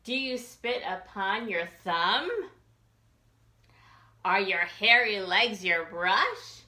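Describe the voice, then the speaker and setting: A woman children's librarian reading a picture book aloud for young children in an expressive, sing-song questioning way. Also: mid-range voice, drawn-out words, echoey room. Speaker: children's librarian hosting storytime.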